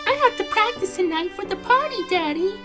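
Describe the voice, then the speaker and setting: A man's voice: extremely high pitch, animated, fairly quick, music in the background. A man voicing a tiny, polite, insistent furry monster child in a comic radio play, childlike and pleading. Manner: childlike, pleading, speaks of self in third person. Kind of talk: comic puppet-character radio play acting.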